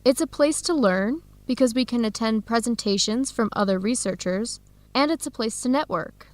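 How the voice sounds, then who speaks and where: A woman acting as a friendly young American researcher in a radio drama. Her voice is fairly high and recorded very dry, and she explains things clearly and encouragingly. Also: moderate pace, no room sound, close to an everyday voice. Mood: explaining, encouraging. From United States. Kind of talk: scripted educational radio drama dialogue.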